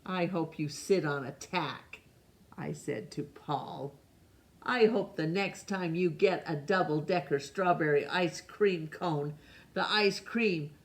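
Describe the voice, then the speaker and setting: A woman voicing an aggrieved, dramatic young boy who complains about his awful day in a picture book, with emphatic, drawn-out words. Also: low voice.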